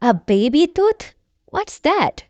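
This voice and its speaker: A woman voicing a curious, excitable and determined little girl pig from a children's storybook. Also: sits high, takes it slowly, dry recording, pitched above the performer's own voice, heavily acted. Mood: curious, excited.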